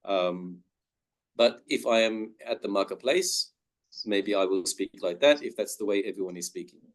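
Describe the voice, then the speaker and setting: Man, Australian accent, webinar guest, careful clear explaining. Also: lowish voice, moderate pace. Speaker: guest speaker. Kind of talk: webinar talk.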